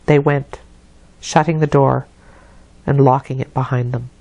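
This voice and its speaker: A woman storyteller reading a classic novel aloud in an expressive literary narration. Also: a bass voice, unhurried, gravelly, dry recording, highs cut off.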